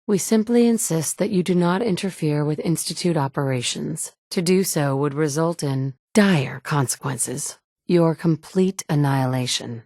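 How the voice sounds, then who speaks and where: A woman's voice: deep voice, mellow, no room sound. A woman voicing a tough, self-reliant survivor in a video game, with a blunt, matter-of-fact delivery, recorded on a close studio mic. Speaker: player character.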